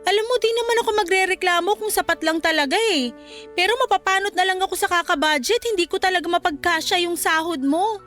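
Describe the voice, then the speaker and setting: Exasperated young wife, very high voice, scolding and pleading, radio drama, soft music behind. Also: female voice, fast. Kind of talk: Filipino radio drama acting.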